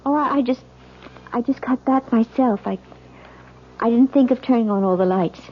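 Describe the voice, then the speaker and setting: An actress playing a frightened, distrustful married woman in an old-time radio mystery, speaking haltingly and anxiously. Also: a little high, slight background noise, natural voice. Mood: nervous. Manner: halting, anxious, breathy murmurs to herself. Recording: dull old radio recording with music.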